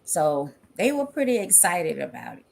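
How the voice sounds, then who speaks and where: A woman's voice: sits low, big pitch swings, moderate pace, vocal fry, a little mellow. A mother on a panel, speaking directly and plainly with plenty of fillers in a casual discussion.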